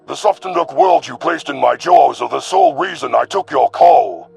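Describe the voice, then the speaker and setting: A man voicing a cruel, arrogant young alien predator commander in an audio story, with a growling, gravelly voice and haughty, formal menace. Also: fairly high pitch, animated, normal speed, a little mellow, faint music behind, voice pushed up. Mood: disdainful.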